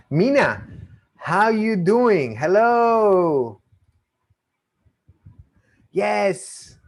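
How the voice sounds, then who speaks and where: A man who grew up in Argentina and Israel, with an accent from both, performing a magic show over a video call, chatty and playful with frequent restarts. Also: a little high. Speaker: magician and mentalist. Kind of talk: live online magic show patter.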